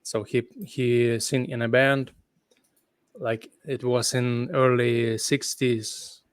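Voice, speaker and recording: Sleepy Ukrainian man, slow halting English. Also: mid-range voice, flat delivery, clear, resonant tone, no echo. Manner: halting non-native English with many fillers. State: sleepy late at night. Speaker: guest guitarist. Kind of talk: casual interview chat.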